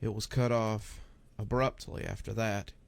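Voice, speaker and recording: A man's voice: on the low side, steady tone, a little slow, gravelly, heavy background noise. A man reading a scripted first-person horror story as its narrator.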